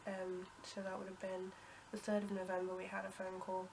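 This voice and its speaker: A young woman with a cold talks to camera, her voice bunged up and her delivery wandering through ums and half-finished sentences. She keeps her pitch level and flat. A steady hiss of noise sits under the recording. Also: fairly quick. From United Kingdom. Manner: rambling, many ums and restarts, coughs and clears throat. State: congested with a cold. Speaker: vlogger. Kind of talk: casual storytelling to camera.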